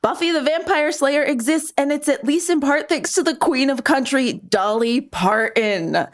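A woman's voice: high voice, animated, normal speed. A woman co-hosting a casual chat and light news segment, chatty, colloquial and playfully emphatic. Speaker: co-host.

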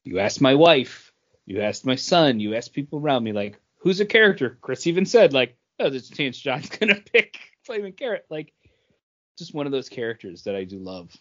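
A man of average pitch talks as a podcast co-host. He speaks in an earnest, halting, conversational style. The sound is somewhat dull and lo-fi. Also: big pitch swings, fairly bright tone. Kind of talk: casual chat, personal reminiscing.

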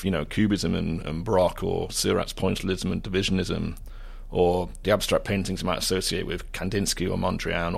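A man interviewing a guest, asking measured, thoughtful prepared questions. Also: sits low, gravelly.

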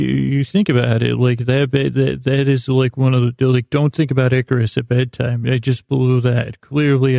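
Man, very creaky voice, mellow tone, podcast host, meandering bedtime monologue, halting, self-deprecating. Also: medium pitch, no room sound, studio quality. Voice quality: creaky, gravelly. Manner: meandering, halting, self-deprecating, often losing his thread. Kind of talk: rambling sleep podcast monologue.

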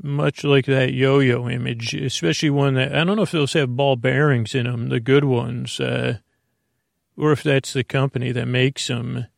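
A man's voice: mid-range voice, fairly even intonation, moderate pace, vocal fry, no room sound, studio quality. A man hosting a bedtime podcast, rambling through a meandering intro and often breaking off mid-thought. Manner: meandering, self-interrupting, stream of consciousness. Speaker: podcast host.

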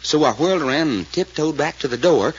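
Husky, folksy Texan sidekick. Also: male voice, a little high, animated, a little fast. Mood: earnest recounting. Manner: folksy, ungrammatical drawl. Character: folksy, excitable Texan detective sidekick, adult man. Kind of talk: 1940s radio serial acting. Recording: dull old radio serial recording.

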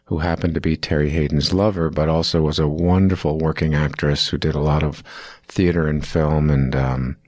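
A man's voice: extremely low pitch, steady tone, moderate pace, hardly any pauses, a little mellow, no room sound, studio quality. A man in his fifties, an acting teacher, telling long stories in an interview, rambling with restarts and fillers. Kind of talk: casual interview storytelling.

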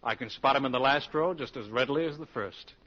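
An actor playing a brisk, self-assured male English professor with dry wit and articulate, formal delivery, in 1940s radio style. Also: medium pitch, sharp tone. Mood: wry, commanding. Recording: dull, noisy 1940s radio broadcast.

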